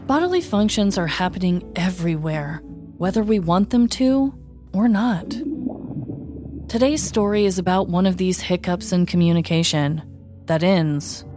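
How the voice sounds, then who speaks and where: Host reading a comedic true-crime script, low-pitched woman, dry wit, soft music bed. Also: lively intonation, moderate pace. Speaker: podcast host and storyteller.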